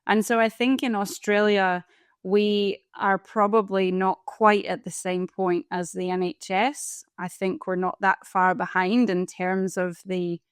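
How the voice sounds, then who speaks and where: Scottish woman with a smooth, resonant voice. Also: medium pitch, flat delivery, a little slow, dry recording, studio quality. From Scotland. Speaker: guest, palliative care doctor and coach. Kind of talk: interview conversation.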